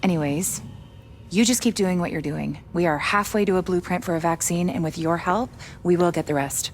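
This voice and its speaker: A woman's voice: fairly low pitch, a little fast, faint music behind, natural voice. An actress playing a brusque, sardonic woman doctor and driven virus researcher in a video game cutscene. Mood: dry, confiding. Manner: sarcastic, blunt, impatient.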